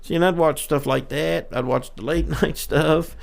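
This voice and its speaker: A man's voice: a little high, fairly even intonation, normal speed, gravelly. A middle-aged man co-hosting a casual podcast chat, reminiscing in a drawling way. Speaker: podcast co-host.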